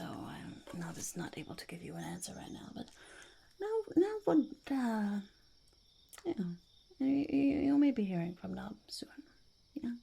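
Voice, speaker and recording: Woman as a creaky, whispering, scheming goblin. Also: mid-range voice, warm tone, exaggerated voice. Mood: cagey, officious. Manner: halting, speaks of self in third person, mock-formal. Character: scheming, self-important goblin interviewing would-be henchmen. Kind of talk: whispered ASMR roleplay.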